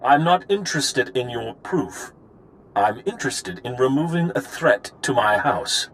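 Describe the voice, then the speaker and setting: An actor playing a stern estate guard in a video game, blunt and commanding. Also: male voice, medium pitch, big pitch swings, measured pace, fairly bright tone, slight background noise, rough recording. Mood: firm, hostile. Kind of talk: scripted game dialogue.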